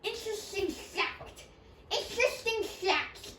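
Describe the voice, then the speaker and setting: A child voicing an eager young bunny puppet, halting and repeating phrases in an improvised show. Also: very high-pitched, pushed and bright, exaggerated voice. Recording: roomy, distant home recording with noise.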